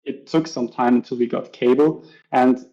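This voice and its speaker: A man's voice: medium pitch, steady tone, moderate pace, warm tone, muffled recording. A man from Switzerland with a Swiss German accent, chatting as a podcast guest and acting out little scenes from his stories. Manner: chatty, acts out voices in stories. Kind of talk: casual podcast interview.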